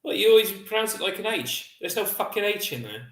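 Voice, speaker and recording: A man's voice: sits high, very animated, brisk. A man from the Netherlands, co-hosting a casual livestream, teasing and swearing a lot. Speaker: co-host of a beer review livestream. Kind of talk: casual banter. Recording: roomy echo on the voice.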